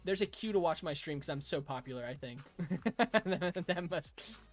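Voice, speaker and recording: Hoarse young man chatting on stream. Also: on the high side, expressive, a little slow, soft background music. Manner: chatty, chuckles often, thanks viewers warmly. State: hoarse, raspy throat. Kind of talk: casual live-stream chat reading viewer comments.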